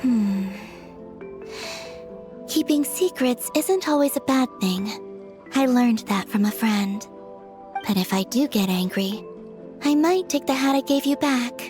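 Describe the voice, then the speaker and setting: Calm perceptive young game heroine, highish soft voice, measured n thoughtful. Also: female voice, soft background music. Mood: gentle, reassuring. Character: calm, perceptive young woman leading an investigation, gently kind. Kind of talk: video game voice acting.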